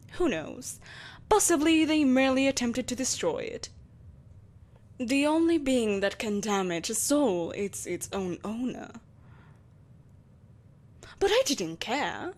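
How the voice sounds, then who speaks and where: An ageless, ruthless empress of dark magic, performed by a woman for an audio drama. Her voice sits on the high side for a woman. She speaks in deliberate, theatrical phrases, proud and politely condescending, like a ruler addressing an inferior. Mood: imperious, musing. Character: ancient, ageless evil sorceress empress, haughty, ruthless, possessive.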